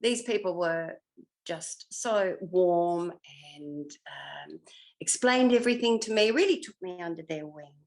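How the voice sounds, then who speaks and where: An older woman, a former television actress, reminiscing in an interview in an effusive way, often pausing to search her memory. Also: lowish voice, expressive, vocal fry, rough recording.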